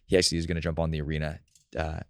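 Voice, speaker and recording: A young man hosting a podcast with his father, his voice a little raspy from long talking, chatting energetically with many restarts. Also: very deep voice, monotone, very fast, dry recording. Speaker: podcast host. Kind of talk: casual podcast chat.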